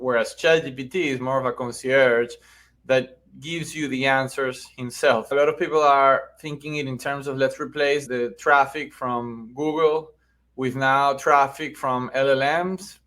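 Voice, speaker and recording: A man's voice: medium pitch, a bit bright. Middle-aged Venezuelan man explaining. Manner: explanatory, often tags points with "right?". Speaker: podcast guest, marketing agency founder. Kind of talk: conversational interview explanation.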